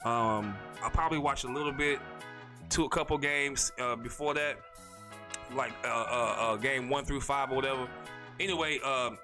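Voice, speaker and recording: A man from North Carolina, hosting a casual solo podcast in a rambling, slangy way, talking fast with a stutter. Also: mid-range voice, music in the background. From North Carolina, USA. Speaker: podcast host.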